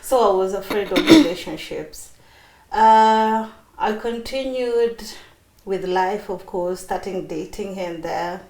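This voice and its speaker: A woman's voice: medium pitch, takes it slowly, darkish tone. A bubbly woman in her thirties with a Kenyan accent tells her personal story in a chatty way. Her voice sits mid-range for a woman. An echo fills the room around her. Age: 30s. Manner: bubbly, chatty, with many fillers and laughs. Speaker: interview guest.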